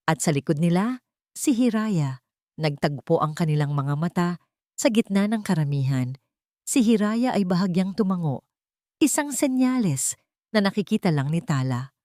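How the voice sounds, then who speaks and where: A woman reading a scripted romance story as narrator, with deliberate, dramatic phrasing. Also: on the low side, mellow, dry recording, clean studio sound.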